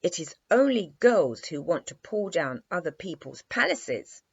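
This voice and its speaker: A woman's voice: fairly low pitch, very animated, a little slow, vocal fry, slightly rough, voice pushed up, heavily acted. A woman voicing a proud, touchy little boy prince in a storybook, earnest and self-important. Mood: dignified. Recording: dry, slightly dull lo-fi recording.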